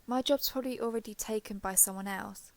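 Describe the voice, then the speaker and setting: A woman playing a shy, guarded former villain turned ally in an intimate romance audio roleplay, halting, defensive and awkwardly sincere. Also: mid-range voice, close to an everyday voice. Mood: grudging, defensive.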